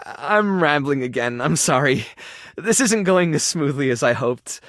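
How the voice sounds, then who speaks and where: A male actor in a dating video game playing an earnest, tender, awkward keeper of an animal rescue, sincere and prone to halting rambles. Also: mid-range voice, dry recording, clean studio sound, lightly acted. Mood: nervous, heartfelt. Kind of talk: video game character voice acting, dating simulator.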